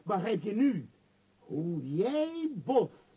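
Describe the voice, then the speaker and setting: Vain bigshot man in a radio play, fairly high voice, very animated, pompous. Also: very slow, slightly rough, dull audio, heavily acted. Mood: arrogant persuasion. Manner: pompous, emphatic. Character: vain, powerful male tycoon, boastful and scornful. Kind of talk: radio drama acting.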